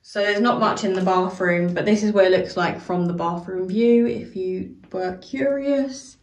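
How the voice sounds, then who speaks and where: A young British woman giving a casual tour of her house, chatty and filling pauses with "so" and "um" as she walks from room to room. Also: mid-range voice, recorded from a distance. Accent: British English. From United Kingdom. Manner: chatty, apologetic asides, frequent "so" and "um". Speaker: home tour vlogger. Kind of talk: casual walkthrough narration. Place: small house, indoors, walking room to room. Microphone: handheld phone camera. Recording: roomy sound, voice away from mic.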